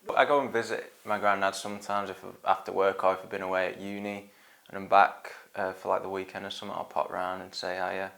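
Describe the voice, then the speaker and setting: A young man, talking casually in a documentary interview, relaxed and rambling with lots of ums and "and stuff". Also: deep voice, fairly quick, creaky. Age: young adult. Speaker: band guitarist.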